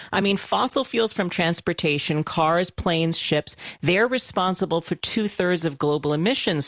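A woman's voice: on the low side, no room sound. Woman radio interviewer, close and dry. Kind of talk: broadcast news interview.

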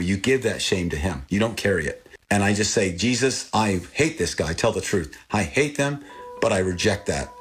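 A man's voice: mid-range voice, slightly rough. An older man raised in Thailand with Thai as his first language, talking earnestly as an interview guest and lifting his voice when he recalls things. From born in Texas, raised in Thailand. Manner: earnest, animated when recalling, repeats and restarts. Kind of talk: reflective interview talk.

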